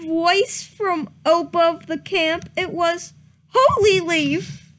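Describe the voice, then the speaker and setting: Young woman streamer, high pitched and unhurried, doing a giggly mock-dramatic reading that trips over words. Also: a bit bright.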